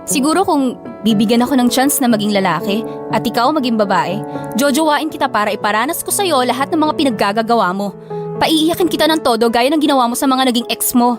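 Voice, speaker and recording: A woman with a Filipino accent playing a guarded, sharp-tongued young office worker in an audio drama. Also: on the high side, lightly acted. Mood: exasperated, teasing. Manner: scolding, blunt, guarded. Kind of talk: Tagalog audio drama acting.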